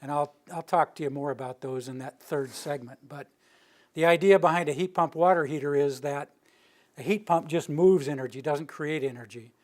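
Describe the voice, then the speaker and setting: An older man from the Rocky Mountain region gives an informal presentation to a roomful of listeners. His delivery is steady and close to monotone, and his voice sits slightly higher than most men's. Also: moderate pace. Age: older, near retirement. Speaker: utility planning director giving a public presentation. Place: meeting room with a live audience.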